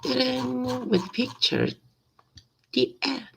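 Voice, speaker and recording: A woman reading a simple story aloud in a halting, hesitant way, often repeating words. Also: high-pitched, vocal fry, a little hiss or hum. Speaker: language learner reading aloud.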